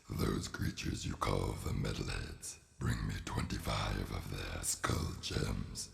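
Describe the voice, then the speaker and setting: An ancient oracle creature speaks in an extremely low, heavily creaking voice. Its delivery is grave and portentous, like a video game prophet addressing a warrior. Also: male voice, heavily acted. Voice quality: very deep, gravelly.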